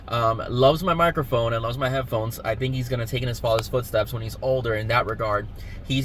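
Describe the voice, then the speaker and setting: A middle-aged man hosting a sports show, speaking casually and haltingly to his viewers. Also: mid-range voice, a bit bright, a little hiss or hum.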